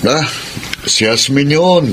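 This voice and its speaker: A man's voice: fairly high pitch, animated, takes it slowly, noisy background. A man acting an easygoing Filipino character in a radio drama, with a conversational delivery. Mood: gossipy.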